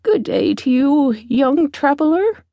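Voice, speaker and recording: Woman doing a wise old beggar lady from a storybook, highish voice, slow and deliberate, kinda imploring. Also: animated, mellow, no room sound, dull audio, heavily acted. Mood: pleading.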